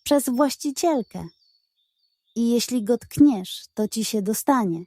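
An anxious storybook goat, acted by a woman, speaks in a high, squeaky voice. She protests and hesitates, dutiful yet easily tempted, recorded close and dry. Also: animated, takes it slowly, dark tone, dry recording. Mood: firm warning.

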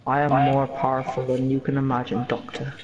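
A man voicing the Master, a scheming male villain time traveler, theatrical and dropping low for threats, in a casual game roleplay. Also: monotone, fairly quick, lo-fi sound, crowd noise. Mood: menacing.

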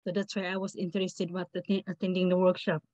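A woman's voice: fairly low pitch, little pitch movement, fast, a little mellow, no room sound. A middle-aged woman with a Filipino accent speaking informally as a participant on a video call. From Philippines. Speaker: community researcher, webinar participant. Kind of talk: informal question in a webinar. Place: small cluttered room indoors. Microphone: video call, laptop microphone. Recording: dull lo-fi video call audio.

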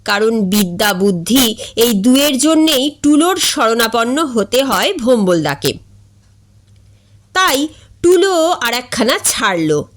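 A woman reading a comic story aloud in an expressive, deliberate narrating style. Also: on the high side. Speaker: story reader.

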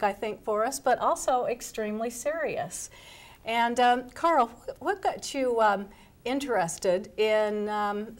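A middle-aged woman hosting a studio panel discussion, welcoming guests and putting questions to them. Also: mid-range voice. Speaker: host, moderator. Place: television studio set. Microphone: lapel mic.

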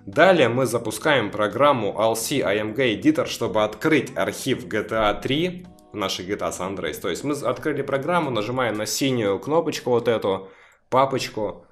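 A man casually talking viewers step by step through installing a game mod, a little hoarse from a cough. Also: lowish voice, expressive, moderate pace, soft background music. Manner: chatty, talks viewers through each step. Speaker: gaming video creator. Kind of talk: casual screen-recorded mod tutorial.

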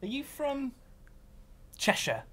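A man's voice: extremely high pitch, wildly swooping pitch, takes it slowly, a bit bright, slight background noise. A young man in his twenties from North Yorkshire, jokey and a bit awkward, chatting on a date in a cinema. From North Yorkshire, England. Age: 20s. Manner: jokey, laughs a lot, a little awkward. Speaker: dating show contestant. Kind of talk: casual chat. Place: dimly lit cinema auditorium.

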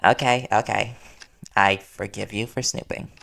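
A man playing a worried, devoted young reporter boyfriend in an intimate audio roleplay, speaking earnestly and soothingly with hesitant pauses. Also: on the low side, steady tone, gravelly, a bit bright, full character voice. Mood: accepting, reassuring.

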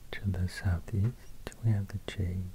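A man with a Swedish accent speaking in a soft, mostly whispered ASMR style, gentle and hesitant. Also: deep voice, steady tone, a little slow. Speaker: ASMR video host. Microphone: close binaural microphone. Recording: close binaural mic, faint hiss, clicks.

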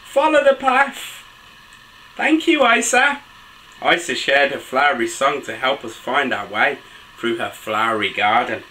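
A man in his thirties reading a picture book aloud to children in an expressive, sing-song, enthusiastic way. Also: medium pitch, unhurried, slight background noise, rough recording. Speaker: dad reading children's books for a video channel. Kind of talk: animated storybook read-aloud for children. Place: small bedroom with a bed and soft toys.

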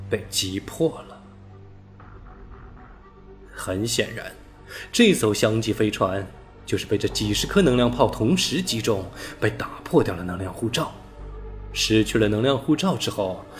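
Low-voiced male audiobook narrator. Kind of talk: scripted sci-fi novel narration.

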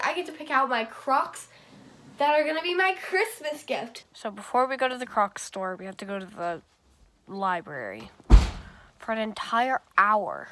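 A young woman vlogging on a handheld phone, chatty and bubbly as she talks straight to her viewers. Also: high voice. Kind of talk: casual vlog to a phone camera. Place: car, bedroom and a busy shopping mall. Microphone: handheld phone camera at arm's length. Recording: phone audio with steady background noise.

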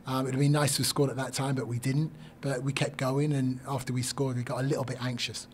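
A man, a head coach at a post-game press conference, speaking in a measured, thoughtful way with many fillers and restarts. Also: medium pitch, little pitch movement, slight background noise. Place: small press room. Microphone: desk mic on stand.

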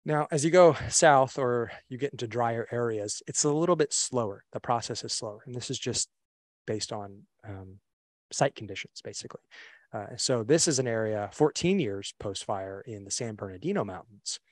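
A young man presenting a webinar on a video call, relaxed and full of fillers and restarts. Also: medium pitch, moderate pace, dry recording. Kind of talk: casual slideshow presentation. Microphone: computer mic on a video call.

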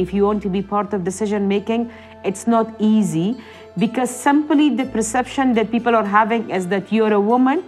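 A woman in her thirties with an Afghan accent, a former politician speaking earnestly and firmly in a documentary interview, seated indoors. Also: on the high side.

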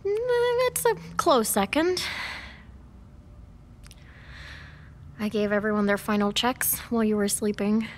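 An actress in her twenties playing a feisty, exasperated younger sister in an audio drama, sharp and quick to retort. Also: fairly high pitch, expressive, slight background noise, lightly acted. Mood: playful, casual. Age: 20s.

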